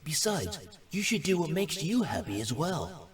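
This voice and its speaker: Male actor, gentle reassuring adviser, very varied intonation, distant game audio, kind. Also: fairly high pitch, sing-song, moderate pace. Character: kind, reassuring man giving gentle advice.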